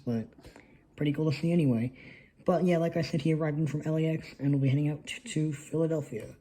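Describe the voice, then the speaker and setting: A man's voice: mid-range voice, warm tone, low-quality recording. A young man, a little congested from allergies, narrating his model airport in a rambling, casual way, recorded on a phone. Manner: rambling, lots of ums. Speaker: model airport hobbyist host. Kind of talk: casual hobby video narration. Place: indoors at a model airport setup. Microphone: phone on a tripod.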